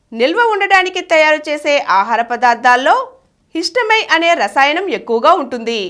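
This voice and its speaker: A woman's voice: high-pitched, pushed and bright. A woman reading a scripted news bulletin as the newsreader. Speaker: news reader.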